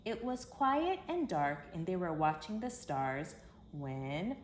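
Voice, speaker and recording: A woman with a fairly low voice reads a story to young children. Her delivery is expressive and emphatic, with simple phrasing. The recording is echoey, with a faint to clear layer of noise behind her. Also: slow. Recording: roomy echo with background hiss.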